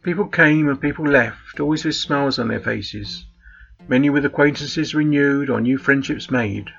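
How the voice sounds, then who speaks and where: Gravelly male storyteller, slightly muffled. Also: mid-range voice, moderate pace, a bit bright. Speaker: author reading his short story. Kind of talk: scripted story narration. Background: faint plucked guitar music.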